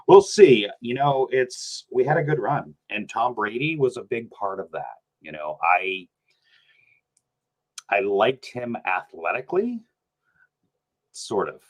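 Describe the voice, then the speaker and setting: A man, a podcast guest chatting casually, animated and rambling, laughing often. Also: fairly low pitch, poor audio. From New Hampshire. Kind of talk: casual sports chat.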